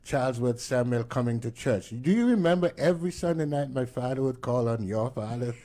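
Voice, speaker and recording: A man hosts a radio talk show, steering the conversation and cheering his guest on with short affirmations. His voice sits at a mid pitch for a man. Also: expressive, brisk, mellow. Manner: affirming, encouraging, frequent backchannel. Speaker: radio host. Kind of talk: radio interview.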